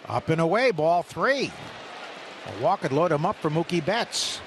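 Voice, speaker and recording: A male radio play-by-play announcer with a somewhat high-pitched voice, calling pitches and hits. His voice jumps up when the ball is put in play. Steady crowd noise from the ballpark fills the background. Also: moderate pace. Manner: rises sharply on live action calls.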